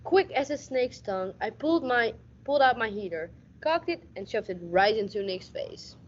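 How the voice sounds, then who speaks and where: A woman's voice: a little high, expressive, normal speed, natural voice. A hard-nosed, wisecracking detective acted by a teenage woman narrates a radio play in hardboiled style. The delivery stumbles and repeats now and then, on a slightly dull recording with faint noise. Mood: dry, hardboiled narration. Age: teens. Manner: stumbles and repeats words while reading. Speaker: school radio drama actor.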